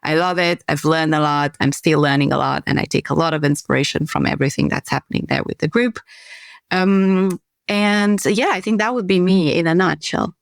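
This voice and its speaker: Female podcast guest, lowish pitch, friendly and reflective, dry remote-call sound. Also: creaky, no echo. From Romania. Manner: friendly, thoughtful, some filler pauses. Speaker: guest, consultant and trainer. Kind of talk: conversational podcast interview.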